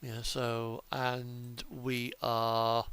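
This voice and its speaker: A man's voice: mid-range voice, monotone, drawn-out words, a bit bright, smooth. A man giving casual, chatty game commentary as a streamer, hesitant and often trailing off mid-thought. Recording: music playing behind the voice. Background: music, truck engine sounds from game.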